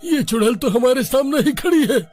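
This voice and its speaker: Timid younger brother, acted by a man, high-pitched, fretful, pleading, cartoon villager. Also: fairly quick, a little mellow, soft background music. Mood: panicked, pleading. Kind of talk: cartoon story dialogue.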